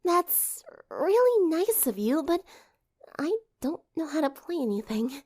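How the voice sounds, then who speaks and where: A woman's voice: high voice, very animated, a little slow, darkish tone, pitched above the performer's own voice. A woman voicing a shy, bookish teenage girl who murmurs and stammers hesitantly in an audiobook story.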